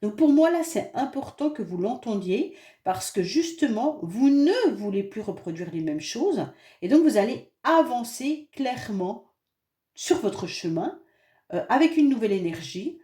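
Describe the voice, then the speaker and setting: A woman with a French accent and a head cold, talking her way through a tarot card reading in a chatty, reassuring tone. Her voice sits fairly high but sounds soft and mellow. The recording is echoey, as if made in a bare room. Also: very animated, unhurried. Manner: chatty, reassuring, many fillers and hesitations. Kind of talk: monthly astrology card reading.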